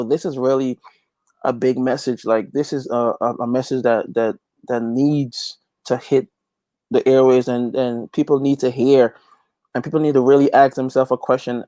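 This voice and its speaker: A man's voice: mid-range voice, steady tone, normal speed, darkish tone, no room sound. Man chatting, close dry sound. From Guyana. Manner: emphatic, repeats phrases as he builds a point. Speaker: interview guest, gospel reggae artist. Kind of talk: casual interview chat.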